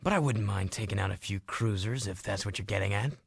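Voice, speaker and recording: A man playing a brooding, defiant young rebel hero with a husky, terse delivery in a video game. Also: fairly low pitch, fairly quick, dry recording. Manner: terse, surly. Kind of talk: video game cutscene acting.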